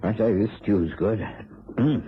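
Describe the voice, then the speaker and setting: An actor playing a genteel, amiable English doctor who also narrates, in a British radio drama. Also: male voice, lowish voice, unhurried, husky. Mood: genial. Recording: dull old radio recording.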